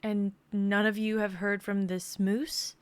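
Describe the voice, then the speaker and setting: A woman's voice: medium pitch, flat delivery, slow pace, clear, resonant tone, close to an everyday voice. A young woman playing a protective, worried store worker in a dramatic script reading. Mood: concerned. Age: young adult.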